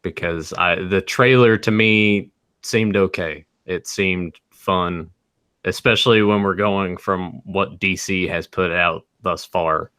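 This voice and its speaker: A man hosting a casual movie podcast, giving low-key, somewhat hesitant opinions. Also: steady tone, takes it slowly, bright, forceful tone, clean tone, no echo. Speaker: podcast host.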